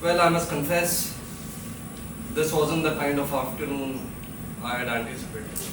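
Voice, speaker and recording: A man's voice: fairly high pitch, a little slow, lightly acted. A male stage actor playing a polite, easily rattled married publishing man, speaking in a hesitant, protesting way. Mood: politely uneasy. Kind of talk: live stage drama acting. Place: indoor theatre stage, recorded from a distance. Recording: echoey theatre, distant mic, noisy ambience.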